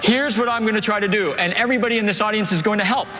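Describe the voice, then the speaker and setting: A man's voice: high voice, racing, over music. A man performing a magic trick on a theater stage, giving the volunteers step-by-step instructions in upbeat live patter.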